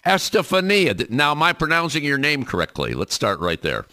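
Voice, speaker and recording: A man's voice: a little high, fairly quick, no echo, clean studio sound. A man hosting a union radio talk show, reading sponsor plugs and interviewing a guest in an announcer's manner. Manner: lively announcer delivery, reads sponsor plugs.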